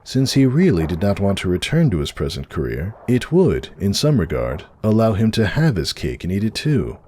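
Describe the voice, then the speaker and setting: Very deep-voiced man narrating with lively swings. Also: moderate pace, slight background noise. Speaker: fan fiction narrator. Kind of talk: scripted dramatic storytelling.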